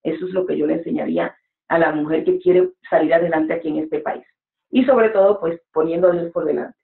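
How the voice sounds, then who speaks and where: A woman's voice: sits low, steady tone, brisk, vocal fry. A woman in her forties, a preacher speaking as an interview guest, earnest and exhorting, talking from a room at home over a webcam call. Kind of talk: motivational interview talk. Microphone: webcam on a video call. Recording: echoey room, phone-like sound.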